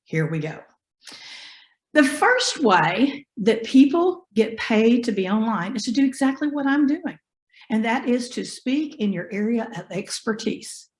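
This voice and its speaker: A woman with a hoarse voice from bronchitis, teaching a live online class over a video call in a folksy, encouraging way and asking her students questions. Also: fairly high pitch, very animated, measured pace, roomy sound. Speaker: online business instructor. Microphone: computer mic on a video call.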